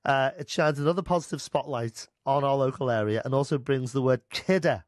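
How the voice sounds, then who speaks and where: A man's voice: medium pitch, fairly quick, no echo, clean studio sound. A middle-aged man from Merseyside with a light Scouse accent, presenting a radio show and chatting to a guest with plenty of fillers. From Merseyside, England. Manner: chatty, warm upbeat delivery with many fillers. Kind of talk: radio interview chat. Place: radio studio. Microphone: studio mic, headphones.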